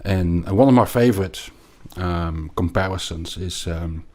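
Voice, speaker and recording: A man with a Belgian accent explaining things as an interview guest, haltingly and emphatically, often repeating words. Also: sits low, a little mellow. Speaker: podcast guest, investment analyst.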